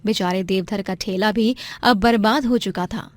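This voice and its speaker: A woman's voice: medium pitch, normal speed, no echo, clean studio sound. A woman narrating a scripted moral story in a dramatic storytelling style.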